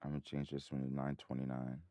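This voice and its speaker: A man hosting a trading livestream in a casual, rambling way, his voice a bit hoarse. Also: extremely low pitch, monotone, fast, dark tone, dry recording. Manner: rambling, many restarts and fillers. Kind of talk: casual live-stream talk.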